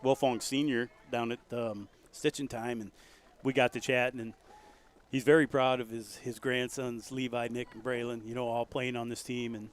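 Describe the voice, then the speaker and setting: A man calling a local high school football game play by play, folksy and rising to loud excitement on big plays. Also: normal speed. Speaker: play-by-play commentator.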